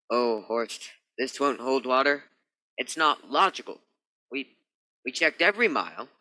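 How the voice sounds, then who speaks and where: Man playing a forceful, bright-voiced spaceship captain. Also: mid-range voice, no room sound. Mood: puzzled, urgent. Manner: commanding, insistent. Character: stern, logical spaceship captain, bewildered and commanding. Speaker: actor in a student radio play. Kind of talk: radio play acting.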